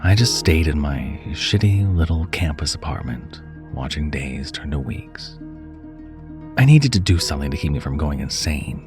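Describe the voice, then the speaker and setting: A man narrating a first-person horror story in a measured, dramatic and expressive way, dropping to a hush at times. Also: very deep voice. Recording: music under the voice. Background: background music.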